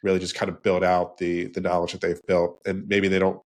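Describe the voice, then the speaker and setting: Man, very low voice, nasal with a cold, podcast guest, rambling lists. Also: completely flat delivery, a little fast. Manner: rambling, restarts, lists in threes. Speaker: podcast guest, branding business co-founder. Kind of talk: casual interview talk.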